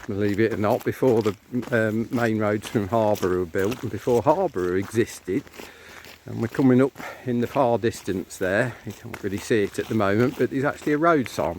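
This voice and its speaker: A middle-aged man with an English accent gives casual, rambling commentary as a chatty vlog guide with dry humour. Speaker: walking vlog host and local guide. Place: moving car, later open farmland track. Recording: noisy handheld recording, car and outdoor noise. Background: car rumble, outdoor ambience.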